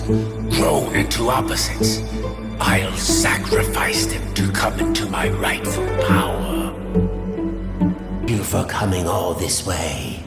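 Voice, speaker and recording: A deep-voiced, grandiose male villain from a video game, gravelly and menacing. He speaks slowly and deliberately, as if every word were part of a scheme, in an echoey space under loud music. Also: exaggerated voice. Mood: brooding menace. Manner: slow, deliberate, theatrical, menacing. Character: cold, grandiose male villain scheming for godlike power and revenge.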